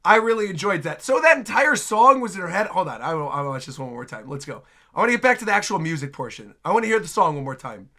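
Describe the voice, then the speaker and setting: A host giving casual reaction commentary on game trailers in an excitable, exclamatory way, often repeating phrases. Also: mid-range voice, quick pace.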